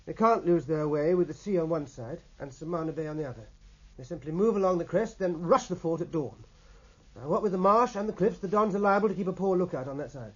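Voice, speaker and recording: An actor playing a keen, respectful young British naval lieutenant in a 1950s radio drama, speaking in a measured, persuasive way. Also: male voice, fairly high pitch, expressive, slight background noise, lightly acted. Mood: quietly persuasive. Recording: muffled vintage radio recording.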